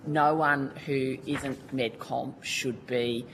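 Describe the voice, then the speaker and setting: A woman's voice: extremely low pitch, fairly even intonation, slow, slight background noise, rough recording. An Australian woman giving earnest, colloquial testimony at a Senate committee hearing. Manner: earnest, colloquial, restarts often. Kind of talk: formal testimony.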